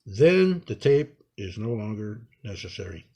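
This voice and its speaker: A man giving an improvised hands-on tutorial, talking unhurriedly and haltingly through each step as he works. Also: on the low side, very animated, dark tone, breathy edge. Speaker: instructor demonstrating a repair.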